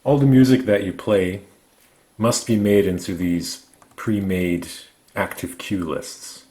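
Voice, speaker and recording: A man narrating a product review, explaining things methodically in short, measured phrases. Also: low voice, warm tone. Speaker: gadget reviewer.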